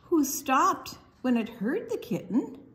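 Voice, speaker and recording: A woman's voice: sits high, big pitch swings, takes it slowly, fairly bright tone. An older woman from Iowa, telling stories and reading picture books aloud to children. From Iowa, United States. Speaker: children's storytime presenter. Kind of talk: storytelling and picture-book read-aloud for children. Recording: faint background noise.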